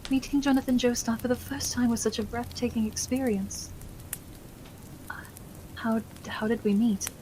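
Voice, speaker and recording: Girl playing a soothing proper victorian wife, kinda high voice, asmr roleplay, bit of background noise. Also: steady tone, normal speed, mellow, natural voice, rough recording. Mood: fond, wistful. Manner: soothing, polite, old-fashioned phrasing. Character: caring, genteel young Victorian wife and hostess.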